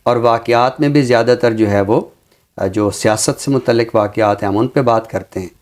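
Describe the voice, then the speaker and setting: A man speaking as a commentator on a literary show, in a deliberate, reflective way with emphatic phrasing. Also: medium pitch, a little mellow. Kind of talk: reflective commentary and Urdu poetry recitation.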